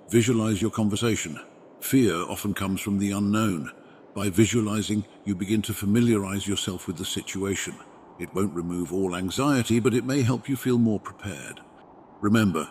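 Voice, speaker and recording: Man voicing a deep, wise, gentle dragon. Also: a little hiss or hum, natural voice. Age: adult parent with younglings. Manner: formal, measured, reassuring. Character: wise, gentle, protective male dragon mentor. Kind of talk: ASMR roleplay, scripted comforting monologue.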